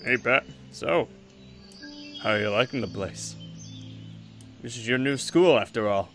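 A man playing an easygoing but firm father, a veteran exorcist in a Minecraft roleplay, talking in a relaxed, reassuring way. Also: medium pitch, a little slow, faint music behind. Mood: welcoming. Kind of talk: improvised Minecraft anime roleplay.

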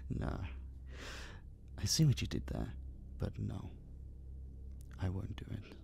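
A man playing a possessive, obsessive masked kidnapper in an intimate roleplay audio drama, coaxing and menacing in a breathy, gravelly, teasing whisper. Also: lowish voice, lively intonation, dark tone, a little hiss or hum, heavily acted. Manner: slow, dominant, cooing and teasing.

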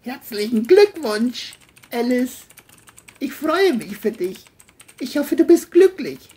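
A woman's voice: high-pitched, big pitch swings, slow pace, mellow, husky, voice pushed up, full character voice. A woman voicing a firm, earnest man, a former lover in a crime audio play. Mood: polite.

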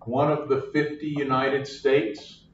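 Slow older man lecturing in echoey classroom. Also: medium pitch, level tone, a little hiss or hum. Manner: slow, patient, repeats each point. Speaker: math lecturer. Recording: echoey classroom, distant, dull.

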